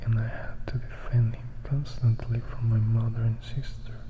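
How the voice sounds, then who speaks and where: A man's voice: mid-range voice, flat delivery, moderate pace, lo-fi sound, noisy background, lightly acted. A man voicing a melancholy, composed vampire who recounts his past in a reflective, whispered storybook reading. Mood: wistful, confiding. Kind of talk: whispered ASMR audiobook reading.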